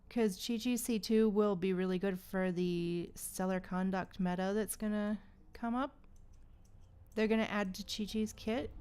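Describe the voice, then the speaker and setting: A woman's voice: on the high side, clear, resonant tone. A woman livestreaming a game, chatting casually and musing aloud in an informal, slangy way. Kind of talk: casual live stream chat and commentary.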